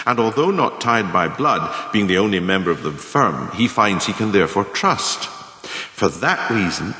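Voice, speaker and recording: A man playing a male lecturer in a radio play, giving a measured, formal, didactic monologue on scheming career advancement. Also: low-pitched, a bit bright. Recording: echoey room with long reverb.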